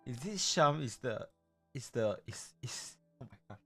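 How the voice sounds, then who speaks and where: A woman streamer giving casual live game commentary in an informal, halting way with slang. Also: rumbling low, expressive, soft background music.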